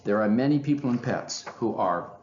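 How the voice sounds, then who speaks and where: Man as a proud, insistent lady crow, animated. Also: medium pitch, normal speed, reverberant, dull audio, slight background noise, close to an everyday voice. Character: proud, loud, self-assured female crow with a temper. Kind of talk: children's storybook character reading.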